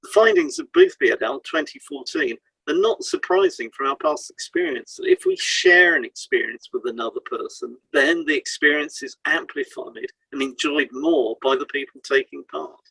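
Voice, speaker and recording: A man's voice: a little high, sing-song, moderate pace, creaky, hardly any pauses, pushed and bright, husky, dry recording, rough recording. A man reading a scripted informational piece as a podcast host, in an explanatory way.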